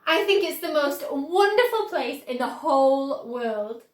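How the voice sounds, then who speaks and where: Lady doing small excited boy from a kids book, high n eager, echoey room. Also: unhurried, far from the mic. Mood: wonderstruck. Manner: eager, exclaiming. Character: eager, wonderstruck little boy.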